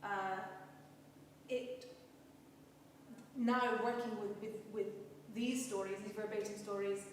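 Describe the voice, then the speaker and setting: A woman's voice: medium pitch, steady tone, slow. This is a Scottish woman speaking on a panel, heard through a very echoey hall. She is picked up from a distance, with faint noise behind her. She talks earnestly and from the heart, often doubling words as she searches for them. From Scotland. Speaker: panelist, cast member of a stage production. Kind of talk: panel discussion.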